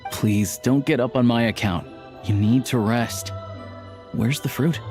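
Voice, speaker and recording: A man playing a patient, caring character in a video game, speaking gently and earnestly. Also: mid-range voice, unhurried, natural voice. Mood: gentle, reassuring. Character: patient, caring man who looks after an old woman. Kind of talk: video game voice acting, dialogue and exposition. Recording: background music under the dialogue.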